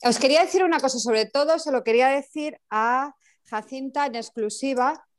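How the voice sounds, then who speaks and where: A woman speaks direct and pleased over a video call link. Her voice sits a little high as she shares news with the group. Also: normal speed. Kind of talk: casual announcement.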